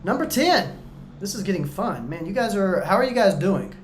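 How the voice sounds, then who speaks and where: A man tutoring viewers through real estate exam questions in a casual, chatty way, joking and going a bit hoarse. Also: sits high, sing-song, moderate pace, echoey room, a little hiss or hum. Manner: chatty, talks straight to viewers, jokey asides. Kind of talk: casual practice-exam walkthrough.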